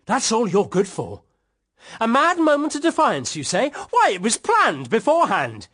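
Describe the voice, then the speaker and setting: An actor playing a jealous, proud British husband in a period radio drama, formal, severe and accusing. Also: male voice, extremely high pitch, wildly swooping pitch, normal speed, a bit bright, full character voice. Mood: furious, scornful. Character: stern, jealous, proud husband, cold and unforgiving.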